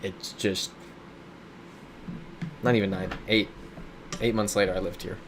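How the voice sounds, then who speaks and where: Streamer, male, lowish voice, chatty and riffing on chat; faint air-conditioner hum behind. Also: little pitch movement, measured pace. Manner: chatty, reads viewer comments aloud and riffs on them. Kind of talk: casual live-stream chat while playing a geography game. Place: his home in a big city.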